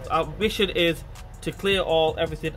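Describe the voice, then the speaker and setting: Man, stuffy blocked nose, fairly high voice, chatty how-to talk, faint music behind. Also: steady tone, moderate pace, a bit bright. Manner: chatty, informal, addresses viewers as guys. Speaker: mechanic presenting a repair video. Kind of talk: casual how-to commentary while working.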